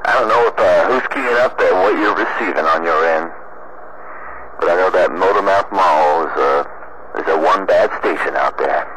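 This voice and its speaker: A man's voice: low voice, moderate pace. A man chatting casually as a CB radio operator, heard over a radio transmission. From United States. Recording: very noisy CB radio reception. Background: loud radio static.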